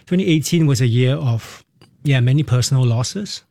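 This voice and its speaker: A middle-aged man, a Cantonese speaker, reflecting on personal experience in a halting, candid way as an interview guest. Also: medium pitch, gravelly, dark tone, no echo, studio quality. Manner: halting, candid, tags phrases with "right" and "you know". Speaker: interview guest, performer and counselor.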